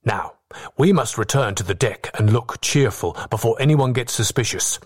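A man's voice: mid-range voice, moderate pace, close to an everyday voice. Man acting a stern, wary sea captain. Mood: resolute.

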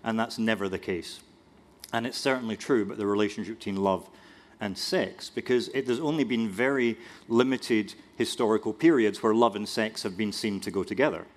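A man's voice: on the low side, a little fast. A man speaking as a panelist, lecturing on history with dry self-deprecating humor. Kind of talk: academic panel discussion.

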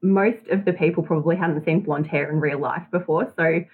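A woman's voice: deep voice, steady tone, fairly quick, slight background noise. Australian woman on a tinny line. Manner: chatty, laughs often. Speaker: guest, business owner. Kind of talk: casual interview.

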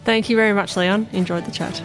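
A woman's voice: medium pitch, fairly even intonation, a little fast, music in the background, poor audio. An Australian woman interviewing a guest in a conversational, informal way.

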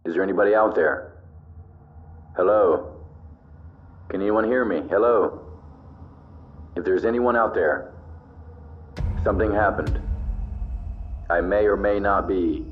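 A desolate last survivor acted by a man calling into emptiness in a drama monologue. The voice is low and slow. It is very muffled, with faint music behind. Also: slight background noise. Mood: desolate, searching. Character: desolate male lone survivor calling out and telling his story. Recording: very muffled sound under music.

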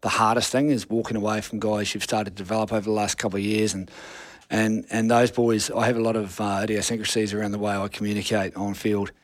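A man's voice: lowish voice, flat delivery, quick pace, no echo. A middle-aged man with a New Zealand accent, a rugby coach answering interview questions frankly, with many restarts. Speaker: rugby assistant coach guest.

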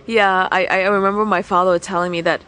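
A woman's voice: medium pitch, fairly even intonation, fairly quick, fairly bright tone. Woman, frank winery owner. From Hong Kong. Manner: frank, with frequent restarts and hesitations. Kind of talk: casual interview chat.

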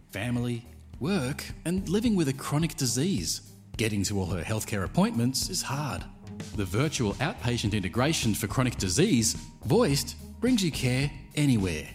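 Lively male explainer narrator, faint music. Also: medium pitch. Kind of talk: scripted explainer video narration.

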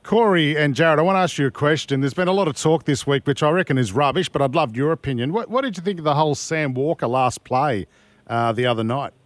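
Middle-aged Aussie radio host, slightly raised pitch, friendly and joking. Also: male voice, quick pace, no room sound. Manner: jovial, matey. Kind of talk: casual radio interview. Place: radio studio.

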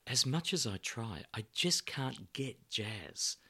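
A man's voice: medium pitch, animated, takes it slowly, pushed and bright, breathy edge, pitched above the performer's own voice, full character voice. A male narrator voicing a prim, uncool young man with a fussy manner. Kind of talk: audiobook character voice.

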